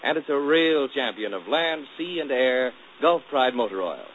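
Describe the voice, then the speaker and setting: A man's voice: medium pitch, animated, normal speed, slight background noise. A man announcing on 1940s radio, reading a commercial in a folksy, hearty salesman manner. The sound is thin and tinny, like an old broadcast.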